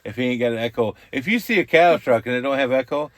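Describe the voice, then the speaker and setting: A man's voice: medium pitch, fast talker, no echo. A man with a folksy, colloquial way of talking, casually explaining things like an experienced radio hand. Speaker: experienced CB radio user explaining trucker slang. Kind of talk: casual chat. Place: inside a truck cab, passenger seat.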